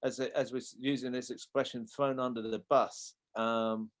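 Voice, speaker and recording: A man hosting a casual political interview, speaking in a conversational, halting way. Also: mid-range voice, level tone. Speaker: show host.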